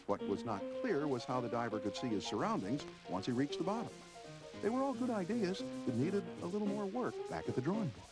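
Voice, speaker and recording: A man narrating a scripted feature segment with a lively storytelling delivery. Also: a little high, a little fast, low-quality recording. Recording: narration over loud music.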